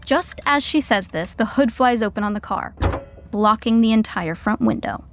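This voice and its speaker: A sitcom narrator with a slightly high voice. She reads the script in a wry, mock-dramatic way over faint ambience. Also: expressive, moderate pace, background noise.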